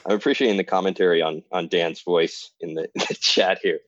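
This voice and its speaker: A man's voice: low-pitched, fairly even intonation, a little fast, dry recording, highs cut off. A man co-hosting a casual chat, easygoing as he steers the talk. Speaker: co-host.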